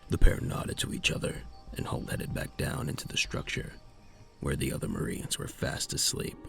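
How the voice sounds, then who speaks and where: A man narrating a scripted science fiction audio drama in a measured storytelling manner. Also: over music.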